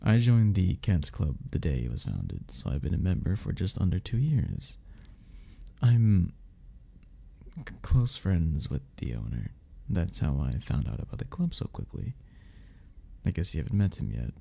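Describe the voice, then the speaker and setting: A man acting a deep, gravelly, gentle flirt. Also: very animated, a little slow, heavily acted. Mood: welcoming. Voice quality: gravelly, husky. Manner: soft-spoken, reassuring, hesitant and considerate. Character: gentle, patient, flirty male cuddle club member. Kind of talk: intimate ASMR romance roleplay.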